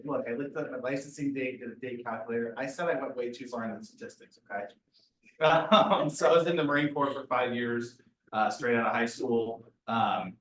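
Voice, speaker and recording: A man giving a chatty, jokey introduction of himself on a real estate panel. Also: mid-range voice. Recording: echoey room, distant mic.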